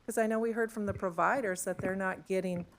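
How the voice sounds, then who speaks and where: A woman with a low voice speaking on a conference panel, chatty, using many fillers and tag questions, into a handheld mic in a conference room. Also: fairly even intonation, fairly quick, slight background noise.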